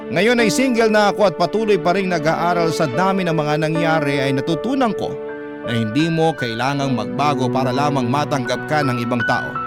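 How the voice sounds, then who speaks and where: A Filipino man narrates a heartfelt radio letter. His voice sits slightly high and his delivery is earnest and sentimental. Loud instrumental music plays beneath him throughout. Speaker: radio story narrator reading a listener's letter. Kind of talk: emotional scripted first-person narration. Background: prominent instrumental music.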